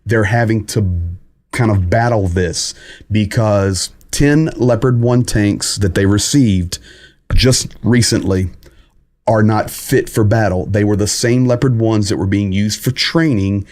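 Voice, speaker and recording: A lower-pitched man talks through a live update for his viewers. His phrasing is loose and halting, full of pauses and restarts, and he breaks off to greet people in the chat. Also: a little mellow. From United States. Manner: greets viewers and reads chat comments aloud. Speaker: host of a live war update stream. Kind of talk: casual live-stream commentary.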